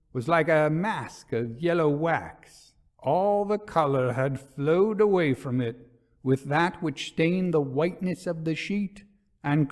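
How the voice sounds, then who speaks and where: Man reading as a grave colonel telling ghostly horrors. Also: mid-range voice. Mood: horrified, solemn. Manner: formal, deliberate, suspenseful storytelling. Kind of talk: dramatic reading of an old ghost story.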